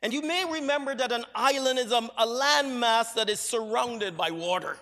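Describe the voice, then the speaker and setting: A high-pitched man from Barbados delivers a measured, reflective sermon. His voice is bright and ringing, and it carries a long reverb through a church sanctuary. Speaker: preacher.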